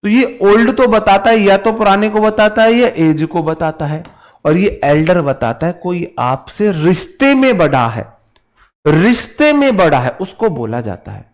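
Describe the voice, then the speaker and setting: A man's voice: high-pitched, expressive, a little slow. A man with an Indian accent teaching a grammar lesson informally, drilling points by repetition and addressing students familiarly. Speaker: English grammar teacher for exam candidates. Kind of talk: informal classroom-style grammar lesson, switching between Hindi and English.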